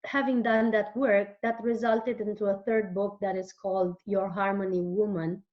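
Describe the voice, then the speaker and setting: A woman whose first language is Romanian, answering interview questions as a guest author in a slow, searching way with frequent restarts. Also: medium pitch, highs cut off.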